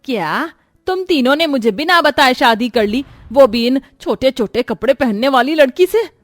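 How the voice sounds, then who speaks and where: Casting: female performer as domineering middle-aged mother-in-law for a cartoon moral tale, indignant, higher pitch, dry sound over faint music. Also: lively intonation, normal speed, no room sound. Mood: outraged. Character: strict, scolding traditional mother-in-law, middle-aged woman. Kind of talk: Hindi moral-story cartoon voice acting.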